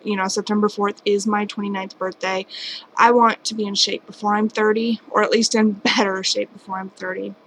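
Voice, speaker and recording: A woman's voice: medium pitch, little pitch movement, fairly quick, a bit bright, slight background noise, rough recording. A woman in her late twenties, talking casually and candidly to her camera at home, rambling and self-deprecating. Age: late 20s. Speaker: vlogger. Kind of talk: casual personal vlog. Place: home at night.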